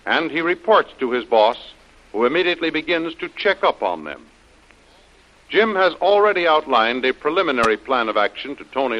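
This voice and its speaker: A man announcing and narrating an old-time radio serial in a formal, declamatory style, on a lo-fi vintage recording. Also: a little high.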